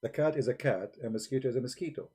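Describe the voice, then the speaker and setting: A man talks into a desk microphone on a livestream, firing one rhetorical question after another in broken, non-native grammar. His voice is very dark and mellow, with a husky edge, at a middle pitch. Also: fast talker. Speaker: livestream host debating religion. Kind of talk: argumentative livestream talk.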